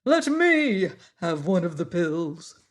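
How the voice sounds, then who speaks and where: Narrator playing pompous insect, storybook, lecturing, slow, loud, self-important. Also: mid-range voice, very animated. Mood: grandly. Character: pompous, highly educated giant insect.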